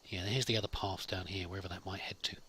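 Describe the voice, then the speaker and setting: A man's voice: low voice, fairly even intonation, fast talker, sharp tone, husky. A man musing aloud as he plays, improvising in-character commentary and talking to his companion as a let's play host.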